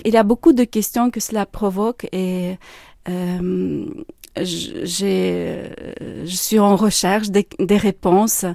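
A woman's voice: mid-range voice, darkish tone, no echo, studio quality. A woman from Ukraine whose first language is Russian, a teacher answering interview questions with many hesitations.

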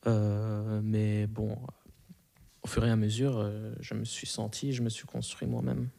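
A young man in his early twenties who grew up in Belgium with Arabic as his first language. His voice is soft-edged and mellow. He talks casually as an interview guest, pausing to find his words. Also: on the low side, level tone, gravelly. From moved to Belgium at five, grew up there. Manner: hesitant, searching for words. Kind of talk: casual, reflective interview answers.

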